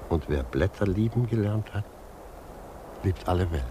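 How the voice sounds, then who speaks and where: Deep-voiced, wistful old judge. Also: male voice, lively intonation, moderate pace. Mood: gently instructing. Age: older. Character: gentle, philosophical old widowed judge, wistful and fatherly. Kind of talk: 1950s German radio play acting. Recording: 1950s radio recording, faint noise.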